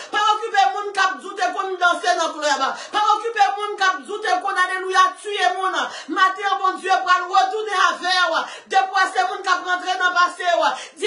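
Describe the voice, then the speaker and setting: A preacher, shouting a fervent sermon, chanting and breaking into song. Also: extremely high pitch. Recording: echoey room, far from mic.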